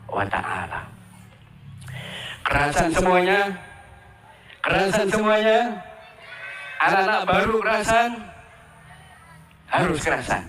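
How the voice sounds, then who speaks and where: A man's voice: medium pitch, a bit bright. A man gives a ceremony address to students, asking the crowd questions and repeating his phrases. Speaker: ceremony speaker at an Islamic boarding school. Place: outdoor school ceremony. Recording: echoey, from far off the mic.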